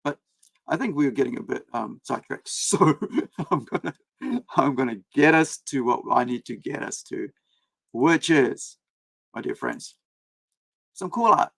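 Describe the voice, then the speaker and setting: A man born in India, with Hindi as his first language, chats on a live stream from his home setup in a rambling, unscripted way. His voice is gravelly at a middle pitch, and the sound is dry and close. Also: a bit bright, dry recording. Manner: rambling, talks to chat, many fillers and restarts. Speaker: comic creator and streamer. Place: home room with several screens.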